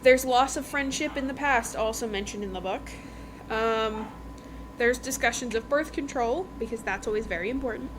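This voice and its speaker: Higher-voiced chatty woman, noisy recording. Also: normal speed. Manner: informal, emphatic, repeats phrases, checks in with "okay?" and "right?". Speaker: podcast host reviewing books. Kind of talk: casual chatty book review.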